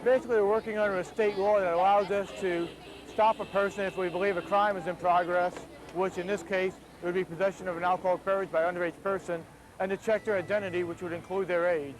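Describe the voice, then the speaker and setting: Fast-talking, high-pitched male police officer. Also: steady tone, poor audio. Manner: procedural, matter-of-fact. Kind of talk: explaining procedures and instructing people on a street patrol. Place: busy street outdoors at night.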